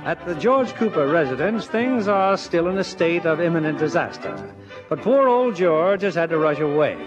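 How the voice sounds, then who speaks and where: High-voiced American radio drama narrator, music. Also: male voice, expressive, normal speed. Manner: theatrical, formal. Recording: dull old radio recording, loud music.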